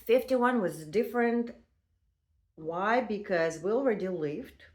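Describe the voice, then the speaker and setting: A woman in her fifties telling her own story in a casual, halting way, with many fillers and non-native phrasing. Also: mid-range voice, lively intonation. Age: 50s. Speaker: vlogger.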